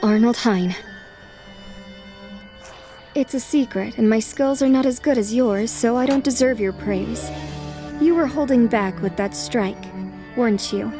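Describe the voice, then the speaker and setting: A woman voicing a poised, self-assured young noblewoman in an anime fan dub, formal, calm and resolute. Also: fairly high pitch, measured pace, long pauses, music in the background, close to an everyday voice. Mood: composed.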